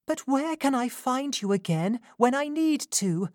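A woman voicing a kind, gentle young lady who speaks softly in a storybook reading. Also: high-pitched, animated, a little slow, no echo, clean studio sound, voice pushed up. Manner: soft and gentle.

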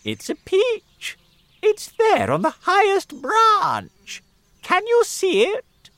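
A reader voicing a shrill, spiteful, bossy old aunt in a storybook reading, shrieking high and loud. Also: wildly swooping pitch, extremely slow, no room sound, heavily acted. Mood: shrill, excited.

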